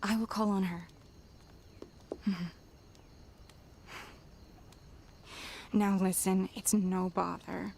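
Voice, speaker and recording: Middle-aged aviation wife in a period radio play: caring, steady, unhurried, with some background noise. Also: female voice, mid-range voice, vocal fry. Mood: gentle concern. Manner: gentle, reassuring, motherly. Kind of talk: period radio drama acting.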